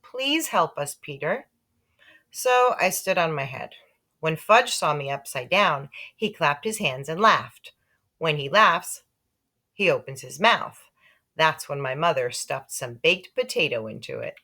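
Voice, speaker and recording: A woman narrates a children's story as a read-aloud. Her voice sits slightly low but moves around a lot, giving the telling an expressive, animated shape. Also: a little slow. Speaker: story reader.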